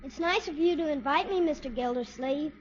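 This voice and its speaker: A woman's voice: sits high, brisk, close to an everyday voice. A woman playing a chirpy, blunt, innocent little American boy in a 1950s radio comedy. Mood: cheerful, eager. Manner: frank, childlike. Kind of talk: 1950s radio sitcom acting. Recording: muffled, very noisy old radio recording.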